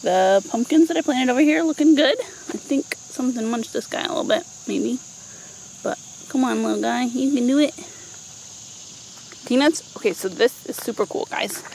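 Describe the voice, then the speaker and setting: Higher-voiced woman, noisy outdoor vlog. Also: moderate pace, darkish tone. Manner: informal, playful asides. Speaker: vlogger giving a home garden tour. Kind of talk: casual chatty vlog narration. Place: outdoors in a backyard vegetable garden. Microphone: handheld camera microphone. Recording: outdoor handheld camera, noticeable ambient noise.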